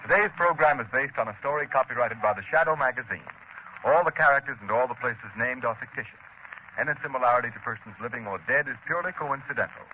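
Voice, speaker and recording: A man's voice: medium pitch, fast. A man announcing a radio program in a formal, promotional manner. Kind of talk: scripted announcer reading. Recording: dull old radio recording with hiss.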